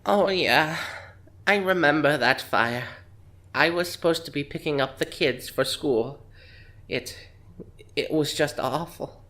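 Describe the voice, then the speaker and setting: A man's voice: fairly high pitch, measured pace, long pauses, bright, forceful tone. A man playing a chatty, evasive middle-aged grandmother in an audio drama, speaking hesitantly and conversationally. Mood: flustered, friendly.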